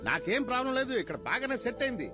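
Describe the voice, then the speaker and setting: A woman's voice: a little high, sing-song, rapid-fire, over music. An actress playing a middle-aged mother, soothing and reassuring a grown son. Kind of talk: film drama dialogue.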